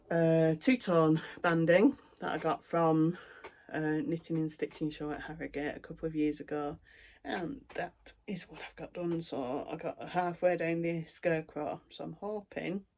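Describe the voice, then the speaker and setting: A woman's voice: low voice, steady tone, measured pace, darkish tone. A middle-aged woman with a broad Lancashire accent, chatting casually about her cross-stitch projects, with lots of ums and asides. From North West England. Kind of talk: casual show-and-tell chat.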